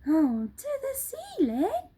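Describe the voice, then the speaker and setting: A small, protesting animal from a children's story, voiced by a woman. It pipes up very high with lively swoops, questioning and exclaiming. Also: slow, background noise, full character voice. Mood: disbelieving. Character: puzzled, protesting small monkeys and birds.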